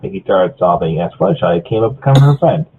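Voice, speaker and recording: A man with a husky voice narrates a story informally, stumbling over lines and rereading them. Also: low voice, animated, fast talker. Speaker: narrator of a group fan fiction reading. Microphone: online voice chat. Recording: muffled, phone-like voice chat audio.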